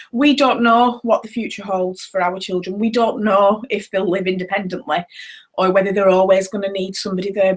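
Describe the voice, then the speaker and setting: A woman in her thirties sharing her personal story in a talk, earnest and hesitant, with many ums. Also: medium pitch. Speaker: network marketing seller sharing her story.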